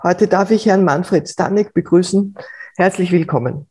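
Sanfte, gedämpfte Interviewerin mit österreichischem Akzent. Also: female voice, fairly low pitch, dark tone, breathy edge. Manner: measured, formal questions. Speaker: podcast host.

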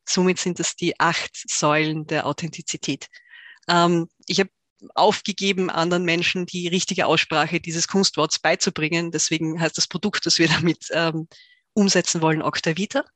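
A woman's voice: on the low side, fairly even intonation, normal speed, fairly bright tone, dry recording. Woman, dry podcast voice. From Tyrol, Austria. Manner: thoughtful, many fillers and restarts. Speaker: startup founder, guest. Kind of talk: podcast interview.

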